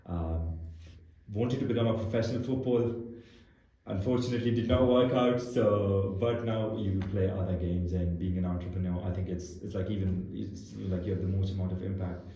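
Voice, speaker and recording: A man's voice: deep voice, fairly even intonation, moderate pace, dark tone. A young man from Pakistan, a founder being interviewed, talks earnestly and thinks aloud with restarts and the odd laugh. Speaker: guest founder. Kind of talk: casual interview conversation. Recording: very echoey room.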